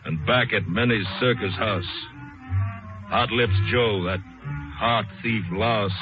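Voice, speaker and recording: Casting: hard-boiled verse narrator, slow and theatrical, mid-pitched, vintage radio over loud music. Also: male voice, dull audio. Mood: dramatic, wistful. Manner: theatrical, slangy, rhythmic. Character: hard-boiled, romantic male jazz-age balladeer narrator. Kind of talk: old-time radio dramatic narration in rhyming jazz verse.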